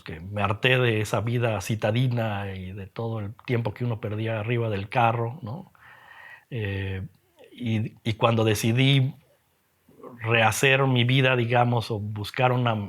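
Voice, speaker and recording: A middle-aged man with a Mexican accent, talking reflectively in an interview, haltingly and with frequent tag questions. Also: medium pitch. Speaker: interviewed graphic designer and teacher. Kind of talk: reflective personal interview talk.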